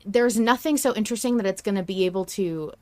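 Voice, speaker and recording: A woman's voice: medium pitch, fast talker, dark tone, dry recording. A woman hosting a podcast, talking casually and emphatically as a fan with strong opinions. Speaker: podcast host discussing an audio drama.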